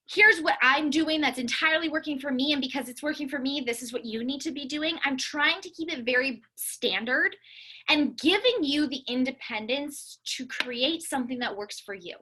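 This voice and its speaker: A woman's voice: high-pitched, normal speed, pushed and bright. A woman giving a motivational coaching talk to her team, speaking earnestly and emphatically and repeating phrases for stress. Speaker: business coach leading a team call.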